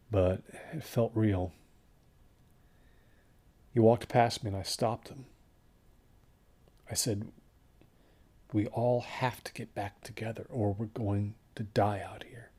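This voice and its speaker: An actor playing a traumatized, exhausted man recounting a doomed desert trek in a halting, hushed horror audio drama narration. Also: fairly low pitch, husky. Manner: halting, hushed, haunted delivery.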